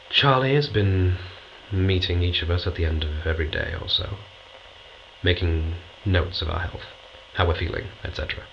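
A man's voice: low voice, creaky. An actor playing a dry, understated astronaut scientist narrating his log in an audio drama. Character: dry, matter-of-fact male astronaut scientist keeping a log. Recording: dull, noisy recorded log.

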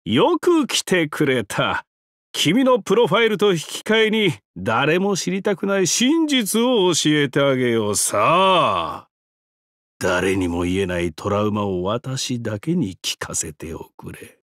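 Wildly swooping, mocking trickster god. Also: male voice, a little high, sing-song, fairly bright tone, dry recording, studio quality. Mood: sinister welcome. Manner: theatrical, taunting, drawn-out words, sudden swoops and cackles. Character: mocking, depraved trickster giant-god, bound prisoner and profiler. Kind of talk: theatrical game character voice lines.

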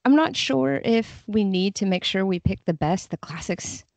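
A woman's voice: medium pitch, expressive, moderate pace, vocal fry, darkish tone, no echo. A woman chatting casually as a guest in an interview, on slightly dull audio. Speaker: podcast guest, freelance translator.

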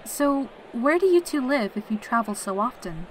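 A woman's voice: fairly high pitch, animated, moderate pace, slight background noise, natural voice, poor audio. A woman voicing a carefree, teasing female pegasus soldier in a fan audio drama. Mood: casual, blunt. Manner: breezy, teasing, cheerful.

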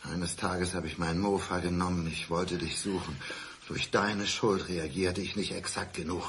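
An actor in a German radio crime drama playing a bitter abandoned ex-husband, mock-polite, deliberate and accusing, set on revenge. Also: male voice, low voice, fairly even intonation, fairly bright tone. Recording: roomy studio sound, faint noise.

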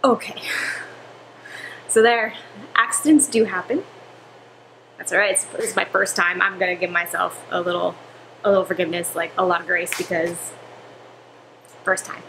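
A woman's voice: lowish voice, fairly even intonation, normal speed, gravelly, long pauses, fairly bright tone, a little hiss or hum, low-quality recording. A young woman vlogging casually in her home kitchen, chatty and laughing at her own slips, recorded by a camera on the counter. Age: young adult. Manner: chatty, self-correcting, laughs at herself.

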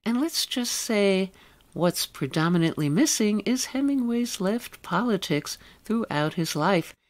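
A woman's voice: mid-range voice, animated, a little slow. Woman hosting an arts radio show. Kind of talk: scripted introduction and opinionated commentary.

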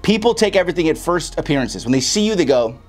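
A man's voice: on the high side, big pitch swings, fast, soft background music. A young American man giving casual, slangy instruction to camera, speaking emphatically and often checking in with the listener. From Chicago, United States. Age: young adult. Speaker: host of a music marketing video for rappers. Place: small home studio room, indoors.

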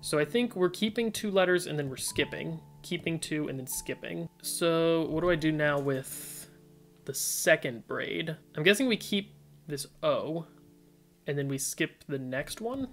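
A man's voice: a little high, lively intonation, unhurried, faint music behind. Young man musing through a puzzle. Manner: reads chat suggestions aloud, tentative musing. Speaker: puzzle streamer. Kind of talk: casual thinking aloud while solving puzzles. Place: indoor room at desk.

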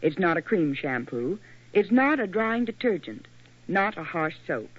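A male co-announcer in a vintage radio commercial. His high voice is animated and emphatic, coming through a tinny, narrow old recording. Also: measured pace, slightly rough. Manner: emphatic, salesmanlike.

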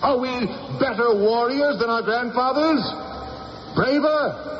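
An actor playing a proud, passionate ancient British war leader in a radio drama, with a theatrical, rhetorical delivery. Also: male voice, very high voice, takes it slowly. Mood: impassioned oratory. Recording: dull, hissy old radio recording, echoey hall.

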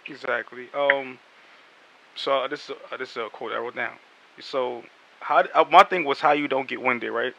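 Chill guy interviewing rappers from his home room, headset, super bright sharp voice, lots of ums. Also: medium pitch, moderate pace, creaky, dull audio. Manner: laid-back, conversational, uses fillers between questions. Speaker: interview host. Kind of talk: casual hip hop interview.

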